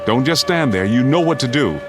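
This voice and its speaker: A man's voice: mid-range voice, lively intonation, brisk, noisy background, lightly acted. An actor playing a firm middle-aged American father in a radio drama, giving terse, authoritative orders. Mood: urgent, commanding. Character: firm suburban father, commanding, keeps his head in crisis. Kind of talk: vintage-style radio drama acting.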